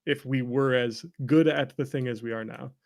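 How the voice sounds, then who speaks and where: A man's voice: mid-range voice, fairly even intonation, normal speed, vocal fry. Man chatting in a rambling, jokey way. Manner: rambling, self-deprecating, jokey. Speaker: interview guest, game developer. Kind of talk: casual chat.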